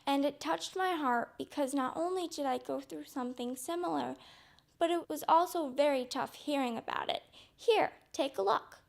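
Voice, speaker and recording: A woman hosting a documentary, presenting in an animated, expressive way. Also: high-pitched, moderate pace, vocal fry, a little hiss or hum. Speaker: documentary host. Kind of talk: presenting narration.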